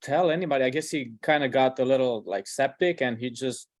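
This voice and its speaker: A man with a mid-pitched voice explains a clinical case casually and hesitantly, in colloquial phrasing. The sound is dry, with no room echo. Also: brisk. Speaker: physician presenting a case. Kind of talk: casual medical case discussion.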